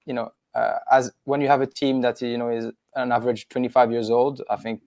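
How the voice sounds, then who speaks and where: Flat-voiced man from Switzerland. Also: mid-range voice, a little fast, no echo, studio quality. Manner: rambling, anecdotal. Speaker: business co-founder. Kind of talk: webinar discussion.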